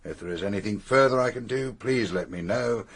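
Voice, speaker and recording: An actor playing a brisk, authoritative police inspector in a radio drama, asking direct questions in a businesslike way. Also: male voice, fairly low pitch, slightly rough.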